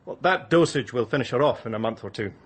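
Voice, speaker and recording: An actor playing a callous doctor in a radio drama, clinical and condescending. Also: male voice, mid-range voice, lively intonation, quick pace, slightly rough. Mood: detached.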